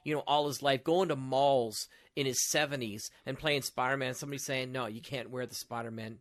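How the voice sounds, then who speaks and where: A man with a middle-pitched voice talks casually as a podcast co-host. He tells his stories earnestly, feeling his way through them in halting phrases. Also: fairly even intonation.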